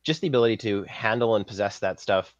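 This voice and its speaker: A man's voice: on the low side, fast. A man from Saskatchewan with a Canadian accent, chatting casually as a guest in a podcast interview. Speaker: guest, film props master. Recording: dull lo-fi audio, slightly roomy.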